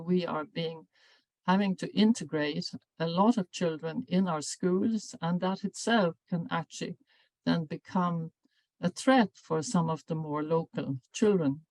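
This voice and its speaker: An older woman, a professor, giving an academic seminar lecture in a measured, formal way, often correcting herself mid-sentence. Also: lowish voice, a little mellow, no room sound, rough recording. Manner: measured, formal delivery with frequent self-corrections. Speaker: professor and anti-bullying researcher.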